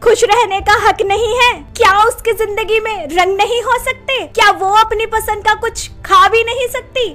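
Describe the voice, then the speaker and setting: A woman's voice: extremely high pitch, bright, forceful tone, heavily acted. A woman acting an emotional young woman in a melodramatic story drama. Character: young widowed woman, pleading and defiant, and her chatty friend. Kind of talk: melodramatic Hindi story dialogue.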